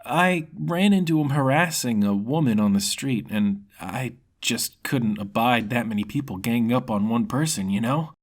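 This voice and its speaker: A man's voice: mid-range voice, normal speed, hardly any pauses, lightly acted. A man voicing a cheerful, dim, trusting young male wanderer in an easygoing, hesitant way for a fantasy story. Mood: carefree.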